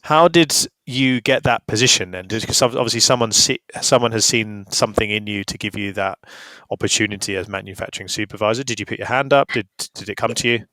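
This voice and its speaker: A man's voice: lowish voice, fast talker, hardly any pauses, sharp tone, dry recording. A man hosting an interview podcast who asks curious questions and keeps up short, encouraging replies.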